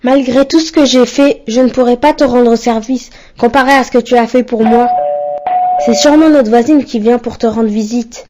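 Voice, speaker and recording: A woman's voice: on the high side, fairly even intonation, normal speed, heavy background noise, lightly acted. A woman voicing a polite, caring boy who looks after his sick mother in a cartoon. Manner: polite, apologetic.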